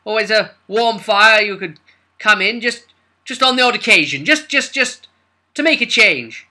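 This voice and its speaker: Flustered posh country squire, high strained voice, hesitant, keeps repeating himself, comedy roleplay. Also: male voice, animated, pushed and bright, exaggerated voice. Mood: awkward, coaxing. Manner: halting, repetitive, hesitant. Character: stammering, awkwardly fond upper-class male landowner, coaxing.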